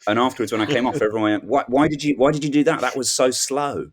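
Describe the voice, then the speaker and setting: A man's voice: mid-range voice, fairly even intonation, quick pace, slightly rough. A man telling a comic anecdote as a podcast guest, sounding insistent and mock-bewildered.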